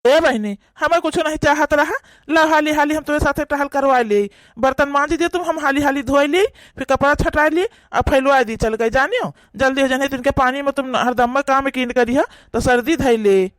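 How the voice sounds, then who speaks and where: A woman with an Awadhi accent voicing a kind young elder brother who gently comforts his sister in a cartoon. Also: high-pitched, moderate pace, dry recording, voice pushed down, lightly acted. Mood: caring.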